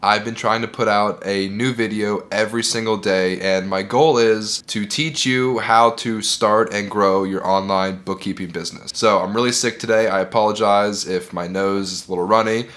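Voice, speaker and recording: Man, stuffy congested voice, lower pitch, encouraging, repeats key points, talking to camera. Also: steady tone, moderate pace, few pauses, fairly bright tone. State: sick and congested. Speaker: bookkeeping business owner and video host. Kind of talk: direct-to-camera business advice.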